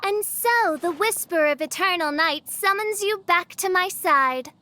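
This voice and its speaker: An actress playing a grandiose young princess in an anime game, declaiming in pompous, archaic phrases. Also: female voice, very high-pitched, lively intonation, unhurried, very noisy. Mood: grand, joyful. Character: grandiose theatrical young princess holding court.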